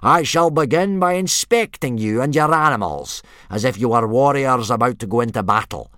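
A man voicing a loud, ill-tempered, pompous Viking warrior instructor barking commands in a children's storybook. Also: medium pitch, lively intonation, moderate pace, no room sound, studio quality, voice pushed up, natural voice. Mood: pompous, commanding. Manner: bellowing, blustering, pompous commands.

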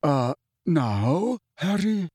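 Man playing a gentleman ghost, slow, formal, mournful, resigned, wistful, dry close sound, audiobook character. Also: on the high side, very animated, slightly rough, no room sound, pitched above the performer's own voice, full character voice. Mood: uneasy, resigned. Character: courteous, melancholy old gentleman ghost.

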